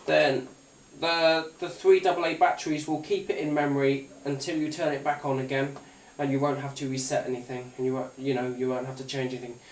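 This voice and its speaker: British man, roomy distant recording, higher-pitched. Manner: hesitant, rambling, unscripted. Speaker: gadget reviewer. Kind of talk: casual product demonstration. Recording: roomy, distant mic, faint noise.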